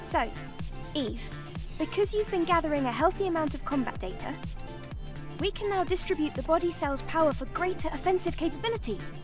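An earnest young female engineer in a video game, very high and girlish. She sits slightly away from the mic, with music behind her. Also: animated, brisk, recorded from a distance. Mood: helpful, earnest. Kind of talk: cinematic video game dialogue.

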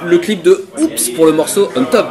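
A man's voice: sits high, animated, normal speed. A man, co-hosting a web show in playful, slangy chat. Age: 20s. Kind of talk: casual chat and sign-off to camera. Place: diner booth, indoors.

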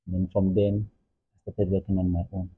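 A man in his thirties with a Ghanaian accent, answering interview questions casually and haltingly, repeating words. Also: low voice, monotone, slightly rough. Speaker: carpenter, interview guest. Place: furnished room, seated in armchairs. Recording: thin, muffled phone-like sound.

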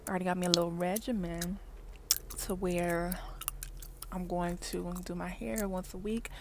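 A woman, chatting casually in a parked car for an ASMR video, often dropping to soft whispers, recorded on a wired earbud mic. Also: on the low side, steady tone, moderate pace. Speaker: ASMR vlogger. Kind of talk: ASMR gum-chewing car chat. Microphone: wired earbud mic held near mouth. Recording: faint background noise, mouth clicks.

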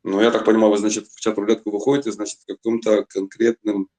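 A man's voice: lowish voice, level tone, fast talker, dark tone. A middle-aged man from Donetsk, Ukraine, telling his own story at length over a webcam video call, rambling with many fillers and restarts. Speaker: chat roulette guest. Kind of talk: long personal storytelling.

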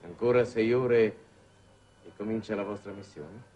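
An actor playing a shrewd, weary middle-aged statesman in a 1960s Italian television drama, speaking in formal, measured phrases. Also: on the low side, dark tone, lo-fi sound, natural voice. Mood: probing, ironic. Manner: measured, formal, deliberate oratory. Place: television studio set. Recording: noisy old 1960s television soundtrack.

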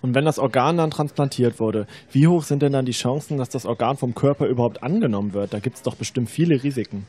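A man's voice: medium pitch, fast talker, few pauses, a little mellow, husky, natural voice. A young man playing a sceptical, questioning university student in a scripted educational audio drama, with a rehearsed delivery. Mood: sceptical, uneasy. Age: young adult. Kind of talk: scripted educational radio-play dialogue.

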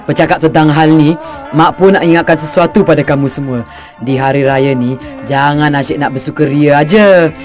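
A man's voice: a little high, fairly even intonation, normal speed, a little mellow, faint music behind, natural voice. A man with a Singaporean Malay accent voicing a caring, moralising mother in a cartoon, speaking instructively. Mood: gently admonishing. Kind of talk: cartoon dialogue.

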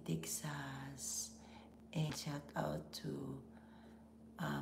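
A middle-aged woman with a Filipino accent, hoarse from laryngitis, hosting a casual live stream from home with slow, half-whispered greetings. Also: deep voice, reverberant, noisy background. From Philippines. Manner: breathy, half-whispered, slow with frequent pauses and throat clearing. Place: small home office room indoors.

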